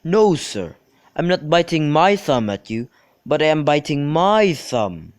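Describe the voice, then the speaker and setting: A man acting as a boastful young servant in a student radio play. His voice sits fairly high for a male, and he talks crudely, with plenty of swagger. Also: very animated, measured pace, clear, resonant tone, no echo. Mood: provoking. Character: Sampson, bragging, crude young male servant itching to brawl.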